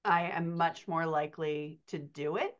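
An American woman with a fairly low voice speaks casually as a coach co-hosting a Q&A. The audio is a little dull, as on a video call. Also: steady tone, unhurried. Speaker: writing coach and co-host. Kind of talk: casual Q&A chat.

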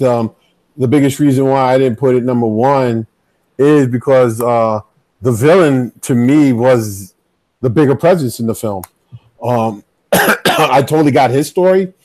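A middle-aged man co-hosting a casual movie-ranking chat over a video call. He is enthusiastic but halting, with many ums and restarts. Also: mid-range voice, darkish tone, dry recording, rough recording. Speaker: co-host. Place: bright room at home. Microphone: earbud headset on a video call.

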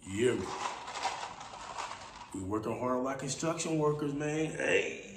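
A raspy-voiced man in his thirties hosting his own podcast, talking in a laid-back, playful way and repeating his phrases, seated indoors and recorded on a camera mic at mid distance. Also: medium pitch, unhurried. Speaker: podcast host. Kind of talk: casual solo podcast monologue. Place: small room indoors, seated. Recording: echoey room with steady background hiss.

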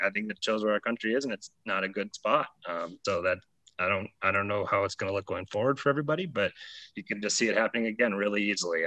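Quick, bright-voiced man, earnest. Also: fairly low pitch, dry recording, low-quality recording. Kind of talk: spoken commentary at a press conference.

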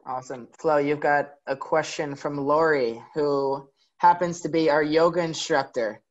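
A man's voice: fairly high pitch, steady tone, measured pace. A man hosting an online event, casually putting questions and chatting with his guest. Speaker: host of a virtual fan event. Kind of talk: casual interviewing and chat. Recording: dull, lo-fi call audio.

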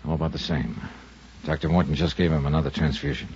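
An actor playing a clipped, wry insurance investigator who narrates a 1950s radio drama. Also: male voice, very deep voice, fast, a little mellow, husky, heavily acted. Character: hard-boiled insurance investigator man. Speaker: detective and narrator. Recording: dull 1950s radio recording with music.

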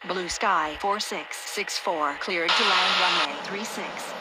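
Air traffic game role: actress as businesslike woman, lowish voice, formal radio calls under loud noise. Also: takes it slowly. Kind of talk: clipped procedural aviation radio phraseology. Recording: very noisy radio with engine-like roar.

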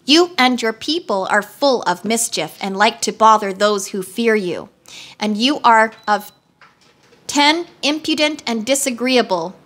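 A calm copper machine-man from a storybook, read by a woman, measured and commanding. He sounds unfeeling and steady, with a bright, clear tone. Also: a little high. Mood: stern.

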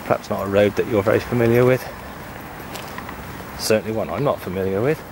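Low-voiced man, noisy outdoors. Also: brisk. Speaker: amateur film narrator. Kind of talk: casual unscripted walking commentary. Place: outdoors on a country path. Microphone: handheld camera microphone. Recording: noisy outdoor camcorder sound.

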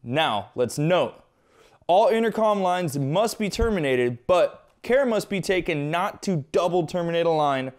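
A man's voice: a little high, lively intonation, measured pace. A man presenting a hands-on equipment tutorial, explaining step by step in an informal, conversational way.

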